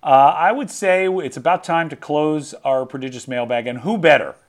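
A man's voice: on the high side, moderate pace. A man hosting a podcast, chatting casually and playfully with a co-host and joking at his own expense while reading listener mail. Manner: playful, jokey, self-mocking.

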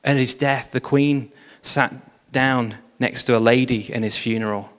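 A man giving a sermon, telling revival history in an animated storytelling manner with frequent fillers. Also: medium pitch, unhurried. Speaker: preacher. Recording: long hall reverb.

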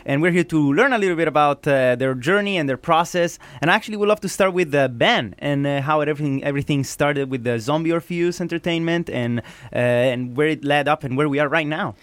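An enthusiastic male host guiding an interview. His voice sits a little higher than most men's and sounds close and dry, with no room echo. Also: fast, few pauses, a bit bright. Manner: enthusiastic, effusive reactions. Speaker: podcast host. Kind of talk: casual interview hosting.

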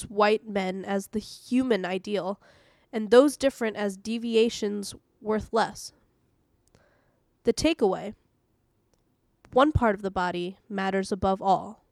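A woman hosting a podcast, reading an audio devotional in a calm, measured, earnest way. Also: mid-range voice, dry recording, studio quality. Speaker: devotional podcast host and reader.

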